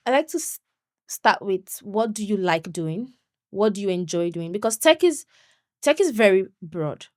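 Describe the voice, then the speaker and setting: A young woman, a guest on an interview show, chatting in an animated way and laughing often. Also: medium pitch, no room sound, clean studio sound. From Nigeria. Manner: animated, laughs often, quick bursts when excited. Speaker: podcast guest. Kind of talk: conversational interview.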